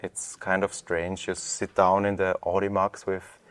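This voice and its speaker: Deep, flat-voiced young Austrian man. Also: a little slow, no room sound. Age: young adult. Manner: polite, slightly formal, reads prepared questions. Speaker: student host. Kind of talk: interview hosting.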